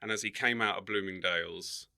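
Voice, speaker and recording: A man chatting casually as a comedian guest on a podcast, wry and bantering and quick to laugh. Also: low-pitched, steady tone, gravelly, pushed and bright.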